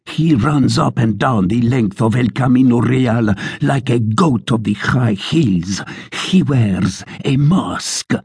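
A man voicing a big, blustering army sergeant, shouting in mocking bursts and drawn-out boasts in a storybook reading. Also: on the low side, gravelly, husky, no room sound, clean studio sound, exaggerated voice. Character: blustering big male soldier sergeant, loud.

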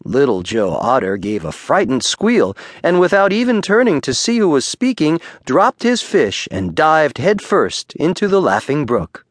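Animated man reading a children's story. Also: on the high side, dry recording, studio quality. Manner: expressive, deliberate storytelling. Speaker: audiobook narrator. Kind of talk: children's bedtime story narration.

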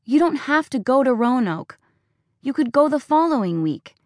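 A woman's voice: on the high side, animated, moderate pace, no room sound, clean studio sound, pitched above the performer's own voice, lightly acted. A woman voicing a defiant, tearful teenage farm girl who pleads and accuses. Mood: pleading, defiant.

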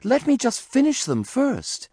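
A young boy rabbit from a storybook, played by a man, pitched up high. He is bookish, polite and earnest, with a pleading, studious way of speaking. The delivery moves up and down in a lively way. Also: measured pace, dry recording, exaggerated voice.

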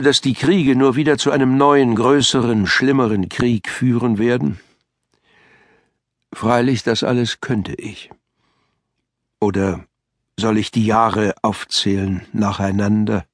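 Audiobook: elderly male writer, reflective and measured, mid-range voice over music. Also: mid-range voice. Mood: solemn, reflective. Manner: reflective, rhetorical, measured literary phrasing. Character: elderly male writer writing his last letter, reflective, wistful. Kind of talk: first-person audiobook memoir narration.

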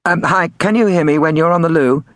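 High-pitched cheeky Liverpudlian prankster played by a man. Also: fairly bright tone, dry recording, clean studio sound, lightly acted. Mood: teasing. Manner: mock-polite, quick-fire questioning. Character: cheeky young male prank caller, mischievous and mock-innocent. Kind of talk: acted storybook dialogue.

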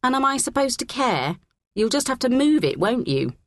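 A woman's voice: high-pitched, sing-song, normal speed, no room sound, pitched above the performer's own voice. A woman voicing a rude, bossy eleven-year-old schoolgirl in an audiobook, with a sneering manner. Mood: scornful. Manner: sneering, hissing, fake-sweet to adults.

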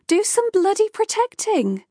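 An exasperated, outspoken young woman, read by a female narrator, firing off complaints in a high, animated voice. Her tone is forceful and scolding, with a gravelly edge in a dry close recording. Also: normal speed, dry recording, exaggerated voice. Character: young woman, sharp, frustrated and outspoken colleague.

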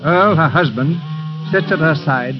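A man's voice: fairly high pitch, measured pace. A man narrating an old radio drama in a theatrical, scene-setting manner. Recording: muffled old radio recording with music.

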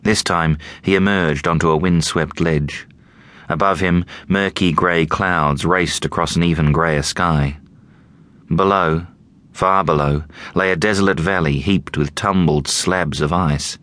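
A man narrating an audiobook in a measured, deliberate storytelling manner. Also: low-pitched, fairly even intonation, fairly bright tone.

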